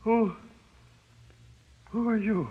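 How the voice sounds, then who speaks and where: A man's voice: sits high, steady tone, drawn-out words, slightly rough, heavily acted. An actor playing a frightened jailed gangster in an old-time radio crime drama, with slangy, ungrammatical tough-guy talk that cracks into pleading, on a muffled old recording. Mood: shaken, disbelieving. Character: frightened, panicky jailed gangster, afraid of the dark.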